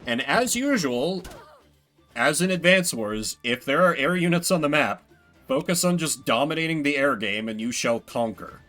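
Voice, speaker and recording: A man's voice: fairly high pitch, animated, normal speed, bright, forceful tone, soft background music. A man giving casual, wry and playful gameplay commentary as a streamer, swearing now and then. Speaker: video game streamer.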